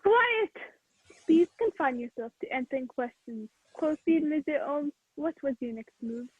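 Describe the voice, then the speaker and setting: A woman's voice: high-pitched, expressive, unhurried, no echo. A woman playing a stern, authoritative male police prefect, formal and commanding, in a radio play. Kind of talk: 1940s radio play acting. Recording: tinny phone-like narrow band.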